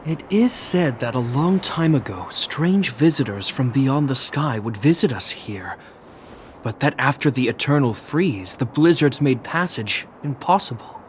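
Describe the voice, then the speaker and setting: A man voicing a solemn, dutiful guard captain in a video game, formal, measured and authoritative. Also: medium pitch, lively intonation, a little hiss or hum, lightly acted. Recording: game music behind the voice. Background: orchestral violin music.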